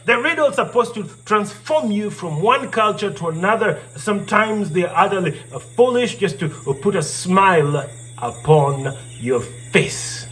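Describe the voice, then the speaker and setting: A man performing as a live storyteller and riddle host, theatrical, repeating phrases and pausing for effect. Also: high-pitched, expressive, poor audio. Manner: theatrical, repeats phrases, pauses before answers. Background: music.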